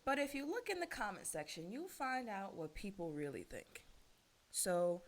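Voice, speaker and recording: A woman from Jamaica co-hosting a casual discussion, speaking in relaxed slang. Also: mid-range voice, normal speed. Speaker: podcast co-host.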